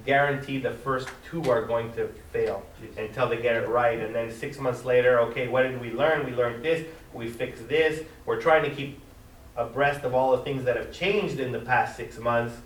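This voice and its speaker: A man's voice: medium pitch, steady tone, normal speed, a little hiss or hum. A man giving a technical conference talk, speaking emphatically and insistently. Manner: emphatic, advocating strongly. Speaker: conference presenter. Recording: echoey hall, mic from a distance.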